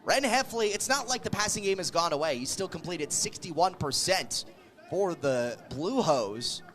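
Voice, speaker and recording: A man doing live play-by-play radio commentary of a football game, calling the action with excited energy. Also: high voice, a little slow. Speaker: play-by-play sports radio announcer.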